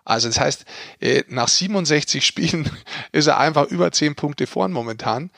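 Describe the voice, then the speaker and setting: A man's voice: fairly high pitch, expressive, moderate pace, vocal fry, a bit bright, no room sound, clean studio sound. A man talking casually and emphatically about sport as a podcast co-host, explaining his points. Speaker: podcast co-host and ice hockey pundit.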